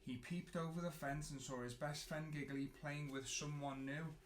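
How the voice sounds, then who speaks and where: A man with a slightly high voice reads a storybook to children. He is expressive, stretching words and pausing for drama. The recording is roomy and echoey, with some noise. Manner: animated, dramatic pauses and drawn-out words. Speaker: children's entertainer reading a storybook. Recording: roomy echo, clear background noise.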